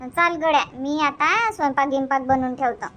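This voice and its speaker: Woman acting nagging, very high-pitched village mother. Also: moderate pace, fairly bright tone, slight background noise. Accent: Varhadi Marathi. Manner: nagging, repetitive. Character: scolding, busy young village mother. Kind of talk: cartoon comedy dubbing.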